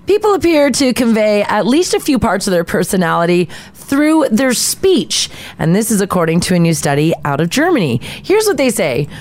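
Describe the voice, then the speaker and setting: Lower-voiced woman radio co-host. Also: big pitch swings, moderate pace, a little mellow. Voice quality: lower-pitched voice. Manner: reads study findings conversationally. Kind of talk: casual morning show banter.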